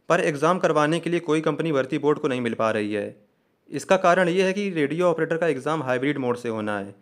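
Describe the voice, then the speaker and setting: Higher-voiced man explaining updates step by step. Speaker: host of an exam news channel. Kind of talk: explanatory exam update narration.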